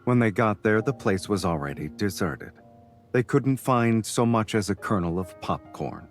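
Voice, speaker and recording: A man playing a suave, devoted lover who teases gently and intimately in a romantic audio drama. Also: animated, moderate pace, soft background music. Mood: teasing, amused. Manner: low-key, teasing, intimate. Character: suave, teasing, devoted adult male lover.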